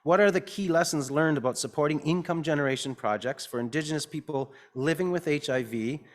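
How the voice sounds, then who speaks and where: A man's voice: on the high side, a little fast. A man facilitating a workshop panel, putting questions to speakers and restating their answers to check he has understood. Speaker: session facilitator. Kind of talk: hosting a conference workshop session.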